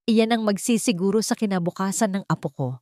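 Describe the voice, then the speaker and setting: A woman's voice: mid-range voice, fast talker, mellow, no echo, studio quality, pitched above the performer's own voice, natural voice. A woman reading the lines of a cold, domineering old wealthy patriarch in a commanding, businesslike way.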